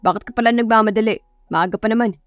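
A woman's voice: a little high, steady tone, quick pace, dry recording, dull audio, soft background music, lightly acted. A woman voicing a friendly, curious male driver in an audio story, casual, with a Filipino accent. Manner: casual, questioning.

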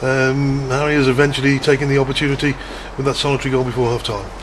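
Male club interviewer, quick halting questions, flat tone, noisy background. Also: medium pitch. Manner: halting, restarts words mid-question. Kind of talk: post-match interview questions.

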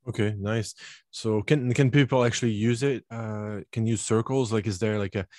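A man in his thirties hosting a casual interview, speaking in halting, broken-up phrases into a desk microphone. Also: on the low side, fairly even intonation, darkish tone, no room sound, clean studio sound. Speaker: show host. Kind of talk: casual interview, channel intro. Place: small home office, indoors, video call. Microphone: desk microphone close in front.

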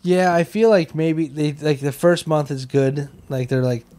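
A man's voice: fairly high pitch, fairly even intonation, measured pace, warm tone. An American man co-hosting a casual comedy podcast, joking in rambling, like-filled sentences on a handheld mic. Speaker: podcast co-host. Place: small wood-panelled room, indoors. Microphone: handheld microphone held close.

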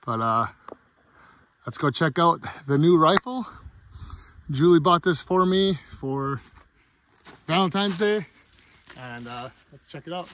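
Higher-voiced man vlogging in noisy audio. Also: lively intonation, slow pace, long pauses, a bit bright. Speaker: outdoor vlog host. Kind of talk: casual chat to camera. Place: outdoors at a winter campsite. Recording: noisy outdoor camera audio.

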